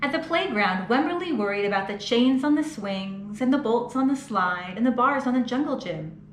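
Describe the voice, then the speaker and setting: A woman reads a children's picture book aloud, expressively, pausing to ask the young listeners questions. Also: on the high side, lively intonation. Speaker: children's storytime reader. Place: children's museum. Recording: roomy echo, faint background noise.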